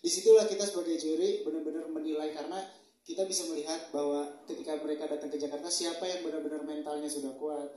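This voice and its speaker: Young guy judge talking earnest, mellow voice, far off in echoey hall. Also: on the high side, steady tone, fast talker. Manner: earnest, fillers of "eh". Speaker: talent show judge. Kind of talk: casual interview answers.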